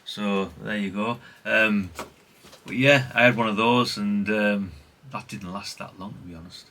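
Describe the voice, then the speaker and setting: A man's voice: fairly low pitch, fairly even intonation, unhurried, pushed and bright, a little hiss or hum. A middle-aged British man talking casually in a rambling, chatty show-and-tell way, often correcting himself and adding tag questions. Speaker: hobbyist video host.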